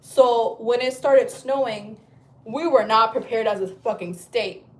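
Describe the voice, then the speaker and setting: A woman's voice: on the high side, a little slow, low-quality recording. A woman in her thirties, from Chile, chatting casually on a livestream from home, rambling and swearing freely. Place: at home, indoors.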